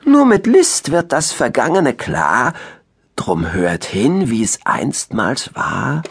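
A man's voice: medium pitch, wildly swooping pitch, slow pace, gravelly, heavily acted. A man playing a quirky old eagle owl in a children's audiobook, grumbling and theatrical, an opinionated know-it-all. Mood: mysterious, incantatory. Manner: grumbling, theatrical, chanting rhymes. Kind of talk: children's audiobook character acting.

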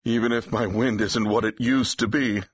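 A man playing a wry, jaunty theatrical agent with a husky voice in an audiobook drama. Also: mid-range voice, fairly even intonation, normal speed, dry recording, natural voice. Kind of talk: full-cast audiobook acting. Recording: dull, slightly muffled audio.

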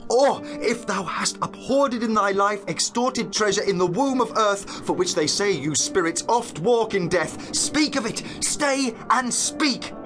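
A man's voice: high voice, lively intonation, a little slow, few pauses, over music. An actor playing a learned, earnest young nobleman on night watch, declaiming verse in a dramatised Shakespearean audiobook. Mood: alarmed, commanding. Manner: eloquent, declamatory verse delivery.

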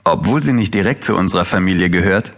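An actor playing a brooding, sardonic older brother in an audio drama, both narrating and in dialogue. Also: male voice, deep voice, lively intonation, fast. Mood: brooding, reflective. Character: bitter, brooding man in wheelchair, older brother. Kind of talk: German audio drama acting and first-person narration.

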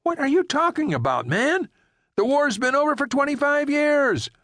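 A man voicing a panicked, bewildered man who fires off shrill, disbelieving questions. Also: very animated, normal speed, fairly bright tone, no echo, heavily acted. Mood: frantic. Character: alarmed ordinary man, bewildered and frantic.

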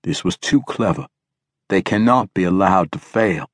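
Guy doing a ancient polite old man, solemn and formal, deep rough voice, storybook read. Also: lively intonation, dry recording, clean studio sound. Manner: formal, deliberate, polite, no contractions. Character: ancient, grave, courteous old man, a watchful servant.

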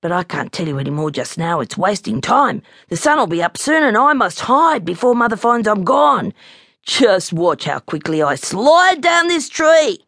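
A woman's voice: medium pitch, big pitch swings, moderate pace, fairly bright tone, no echo, clean studio sound. A woman voicing a bold, naughty, boastful little boy koala bear in a children's storybook. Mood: confident, hurried. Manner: cocky, defiant, scornful.

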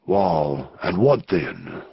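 A man voicing a gruff, scheming male outlaw leader in a slow frontier drawl for an audiobook. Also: a bass voice, huge pitch swings, voice pushed down, heavily acted. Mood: wary drawl. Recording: dull lo-fi audio.

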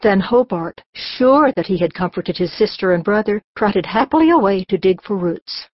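A woman's voice: mid-range voice, lively intonation, moderate pace, no echo, muffled recording. A woman narrating a scripted children's audiobook.

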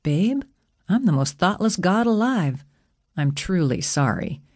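Woman doing a laid-back god boyfriend for a storybook, teasing and reassuring, lively up n down pitch. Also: unhurried. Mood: apologetic.

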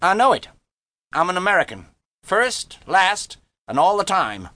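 A man's voice: sits high, sing-song, a little slow, pushed and bright, no room sound, voice pushed up, heavily acted. A man voicing a brash, boastful American teenage boy with slangy, show-off talk in an audiobook story. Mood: cocky. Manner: slangy, cocky, showing off.

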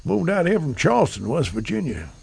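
An actor playing an aging, hospitable frontier rancher in a western audio drama, talking in a folksy, rambling, hesitant way. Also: male voice, fairly high pitch, huge pitch swings, a little fast, creaky, a little mellow, slight background noise, exaggerated voice. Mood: reminiscing, proud.

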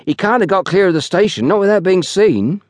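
A man's voice: high voice, expressive, a little fast, no echo, studio quality, pitched above the performer's own voice. A man voicing a young, self-important, sulky railway porter with a colloquial, gossipy manner in a narrated story. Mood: confident.

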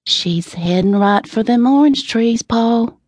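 A woman voicing a seven-year-old country girl in an audiobook, with a sweet, soft Southern drawl and rustic dialect. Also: a little high, slow, no room sound, pitched above the performer's own voice. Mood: urgent, innocent. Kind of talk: audiobook character reading.

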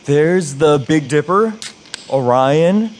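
A man's voice: on the high side, animated, takes it slowly, a little hiss or hum. A man playing a skeptical, nerdy young camper who explains things with wry teasing, in a live horror audio drama. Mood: lecturing, wry.

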